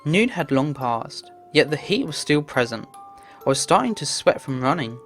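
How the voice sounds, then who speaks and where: Woman, low voice, story narrator, read-aloud visual novel, music behind. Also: very animated, moderate pace.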